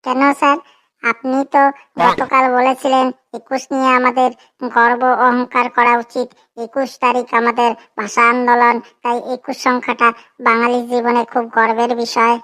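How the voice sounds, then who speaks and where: A woman's voice: on the high side, completely flat delivery, normal speed, fairly bright tone, lightly acted. A woman voicing a cheeky young school pupil in a comedy cartoon, pert and matter-of-fact. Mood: proud.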